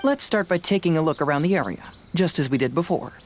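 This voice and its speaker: A man voicing a youthful, analytical detective in a video game, composed and deliberate as he reasons aloud. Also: a little high, lively intonation, background noise, lightly acted. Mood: friendly, composed.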